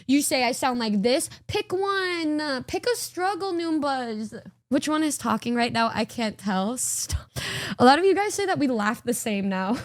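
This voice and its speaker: A young woman chats to her stream in a high, bright voice, recorded close and dry. She giggles a lot and keeps imitating how her friends talk. Her voice sounds a little worn. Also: no room sound. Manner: giggly, chatty, often mimics others. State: voice partly gone from recent strain. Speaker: VTuber streamer. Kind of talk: casual livestream reaction chat.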